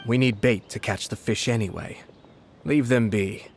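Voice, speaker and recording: An actor playing a composed noble official in a video game, speaking in a calm, measured, formal way. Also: male voice, fairly low pitch, slight background noise, natural voice.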